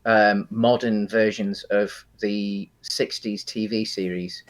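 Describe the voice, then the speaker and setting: A British man co-hosting a casual discussion of films and games, speaking in a halting way with frequent pauses mid-sentence. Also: on the low side, flat delivery. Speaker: podcast co-host.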